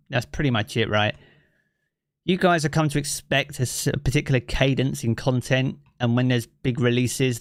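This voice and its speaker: A man's voice: mid-range voice, no echo. Easygoing man from southeast England, dry sound. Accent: southeast English. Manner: chatty and easygoing, thinks aloud with many fillers. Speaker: game streamer. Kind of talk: casual live-stream chat, reading chat aloud. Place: home living room. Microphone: streaming mic with effects.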